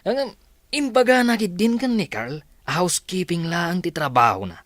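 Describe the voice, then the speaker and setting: A man's voice: high voice, sing-song, normal speed, fairly bright tone, dry recording, clean studio sound. A man acting a defensive, evasive young rich son in an Ilocano radio drama, hesitant and protesting. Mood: sheepish.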